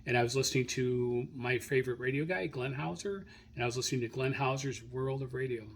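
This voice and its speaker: A man hosting a radio hobby show, informally reading through his logs in a halting, self-correcting way as he searches his notes. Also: mid-range voice. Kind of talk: informal spoken log rundown, unscripted.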